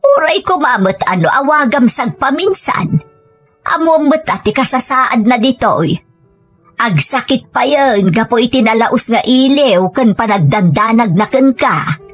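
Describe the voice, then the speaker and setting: A woman with a northern Philippine accent acting a concerned, earnest aunt who gently nags in an audio drama. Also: on the high side, animated, a little slow, faint music behind. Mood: pleading. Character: concerned, gently nagging middle-aged provincial aunt.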